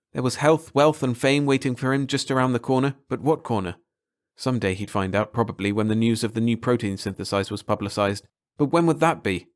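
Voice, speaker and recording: A man narrating a short story aloud in a steady, clear reading. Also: lowish voice. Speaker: audiobook narrator.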